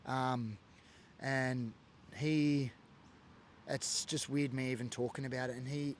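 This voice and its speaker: A man's voice: mid-range voice, fairly even intonation, takes it slowly, slight background noise. An Australian man from Sydney, telling a personal story in an earnest, emotional way with lots of fillers. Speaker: former biker sharing his story. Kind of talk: personal testimony monologue.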